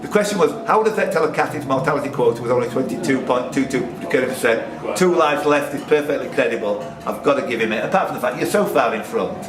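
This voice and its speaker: A man's voice: mid-range voice, animated, fast, few pauses, distant mic, a crowd in the background. A man hosting a quiz, reading out questions and bantering casually with the players. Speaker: quizmaster. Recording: echoey room, off-mic, music behind.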